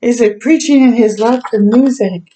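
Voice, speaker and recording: A woman reading a children's story aloud as a storytime host, adding gentle chatty reactions as she goes. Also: fairly high pitch, moderate pace, rough recording. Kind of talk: read-aloud narration with chatty asides.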